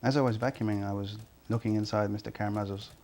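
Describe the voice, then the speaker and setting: Flat, creaky actor as a male cleaner witness. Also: fairly low pitch, lightly acted. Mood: matter-of-fact. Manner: halting, understated. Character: uneasy, plain-spoken male building cleaner giving testimony. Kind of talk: acted police interview testimony.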